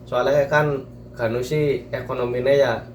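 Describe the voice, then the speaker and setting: A man's voice: mid-range voice, fairly even intonation, a little slow, a little mellow, a little hiss or hum. Young man with thick ngapak accent, far off. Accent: Banyumasan Javanese (ngapak). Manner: storytelling, speaks in thick dialect. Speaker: guest. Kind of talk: casual chat. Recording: echoey, from far off the mic.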